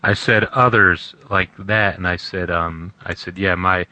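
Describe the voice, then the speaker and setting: A man's voice: low-pitched, steady tone, measured pace, bright, forceful tone, no echo. A man making dry joking asides in casual banter.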